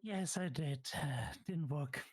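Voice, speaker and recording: A woman's voice: sits low, slow, breathy edge, full character voice. Laid-back female bar customer, played by a woman in game roleplay: hesitant, casual, plain-spoken. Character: laid-back female tavern patron from out of town.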